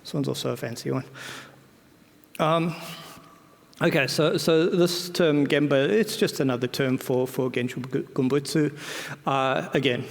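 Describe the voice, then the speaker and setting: A man's voice: medium pitch, unhurried, gravelly, pauses often. Man giving a talk in a reverberant hall. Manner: hesitant, often repeats words and backtracks; colloquial, self-deprecating. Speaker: conference speaker. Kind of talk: informal conference presentation.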